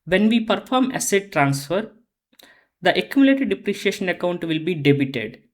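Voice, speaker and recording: An instructor giving a methodical, step-by-step explanatory lesson on accounting software, often repeating phrases while explaining. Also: medium pitch, expressive, moderate pace.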